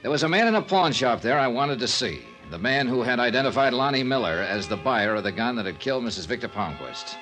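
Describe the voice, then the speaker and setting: An actor with an American accent playing a tough, sardonic, self-assured investigator in an old-time radio drama, clipped and wry in dialogue and narration. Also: male voice, mid-range voice, expressive, fast talker, hardly any pauses, fairly bright tone, faint music behind, close to an everyday voice. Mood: matter-of-fact narrating.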